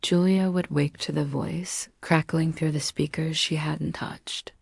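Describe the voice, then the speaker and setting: A woman storyteller hosting and narrating a scripted horror story in a measured, deliberate way with dramatic pauses. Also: deep voice, steady tone, vocal fry, a little mellow, breathy edge, no room sound, studio quality. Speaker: storyteller and channel host.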